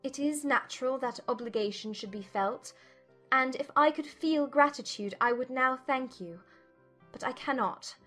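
A spirited young gentlewoman acted by a woman in a dramatized audiobook. She speaks in a fairly high voice with proud, measured, formal diction over faint music. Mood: cold, controlled.

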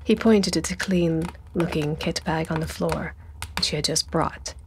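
A woman's voice: sits low, steady tone, a little slow, noisy background. A woman narrating a spooky audiobook in a dramatic, scripted storytelling style. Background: atmospheric sound design and music.

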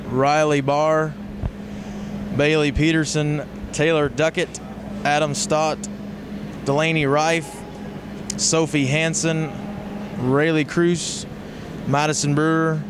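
An American man hosting live livestock show commentary in a measured, matter-of-fact way. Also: a little high. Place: large indoor show arena. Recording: noisy livestock arena ambience.

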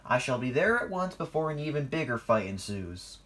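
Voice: mid-range voice, sing-song, brisk, a bit bright, breathy edge, pitched above the performer's own voice. A narrator voicing a blustering, self-important male railway director, formal and spluttering, in a children's story.

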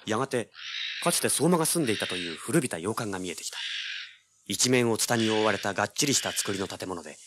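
An actor playing a brash young male treasure hunter in an audio drama, with a rough, slangy, hard-boiled delivery. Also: lowish voice, expressive, fast talker, lightly acted. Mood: hard-boiled storytelling. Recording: noisy old cassette audio drama.

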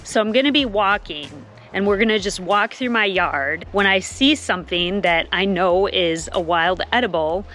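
A woman's voice: medium pitch, moderate pace, hardly any pauses, a bit bright, faint music behind. A woman in her thirties talking casually to her phone camera on an outdoor porch, enthusiastic and chatty, repeating words for emphasis. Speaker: homesteading vlogger. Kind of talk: casual vlog talk to camera. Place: covered back porch of a house, outdoors. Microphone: phone camera at arm's length.

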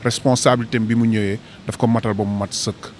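A man's voice: fairly low pitch, flat delivery, fast, a little hiss or hum. A man speaking emphatically in a street interview outdoors, into a handheld mic. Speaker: football fan interviewed. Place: snowy city street outdoors.

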